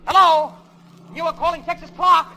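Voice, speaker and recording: A man's voice: extremely high pitch, steady tone, normal speed, bright, forceful tone, exaggerated voice. A man playing a dutiful, urgent airfield radio operator in a 1930s-style radio drama, making clipped, insistent calls.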